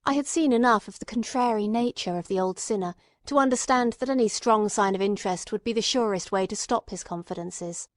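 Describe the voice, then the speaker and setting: A woman reading scripted literary narration in a measured storytelling manner, with acted dialogue. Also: mid-range voice, no room sound, clean studio sound.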